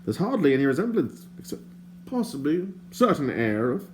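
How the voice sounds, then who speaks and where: A man's voice: on the high side, sing-song, fairly quick, slight background noise, voice pushed up. A man doing a chatty, flowery wandering bard. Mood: courtly. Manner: theatrical, wordy and self-mocking.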